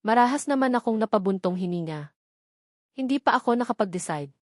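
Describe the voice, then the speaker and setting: A woman's voice: on the high side, expressive, fairly quick, no echo. Woman reading a novel, dry close sound. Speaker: audiobook narrator. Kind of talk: scripted first-person novel narration.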